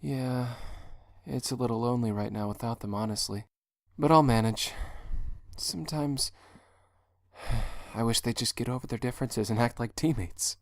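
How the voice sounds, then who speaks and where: A woman voicing a shy, soft-spoken young man who stammers and hesitates in a fan audio drama. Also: a bass voice, normal speed, no echo, clean studio sound. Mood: weary.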